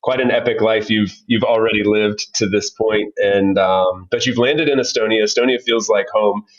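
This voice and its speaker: A man's voice: fairly low pitch, fairly even intonation, moderate pace. A man hosting a podcast interview, enthusiastic and full of fillers and restarts. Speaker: podcast host. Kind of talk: casual interview. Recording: dull lo-fi sound.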